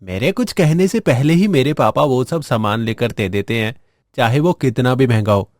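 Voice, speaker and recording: A haughty rich boy from a cartoon moral tale, acted by a grown man in a mid-pitched male voice. He shows off and talks down to others, recorded close and dry. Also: animated, normal speed, no echo, natural voice. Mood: smug, taunting. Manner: showing off and taunting. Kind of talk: moral-story cartoon dialogue.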